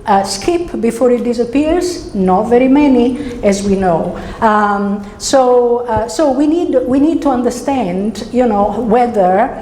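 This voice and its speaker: A woman's voice: on the high side, animated, slow pace, hardly any pauses, warm tone. A middle-aged woman from Italy with an Italian accent gives a conversational academic lecture, phrasing hesitantly and checking in with her listeners. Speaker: university researcher giving a symposium lecture. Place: indoor lecture hall. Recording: roomy, reverberant hall with background noise.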